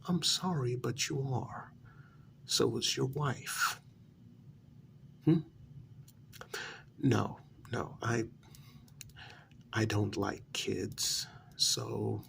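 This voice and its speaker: A man playing a condescending, unhinged asylum patient in a soft-spoken ASMR role-play, halting and matter-of-fact, often slipping toward a half-whisper. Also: lowish voice, gravelly, slight background noise, exaggerated voice. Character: condescending, unhinged male asylum patient who believes he created everyone.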